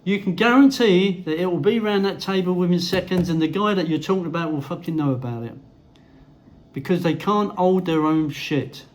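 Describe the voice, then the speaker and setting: A man's voice: high voice, a little fast. An older man, ranting bluntly to camera in a casual vlog and swearing heavily. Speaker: vlogger. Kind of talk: opinionated casual monologue.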